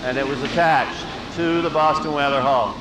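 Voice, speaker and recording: Man guiding a tour on a loud, echoey factory floor. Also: a little high, fairly even intonation, normal speed, recorded from a distance. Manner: matter-of-fact, confirms with "that's correct". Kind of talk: explaining on a walking tour. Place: large factory floor indoors. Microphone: handheld mic. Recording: echoey factory hall with loud machine noise, from off mic.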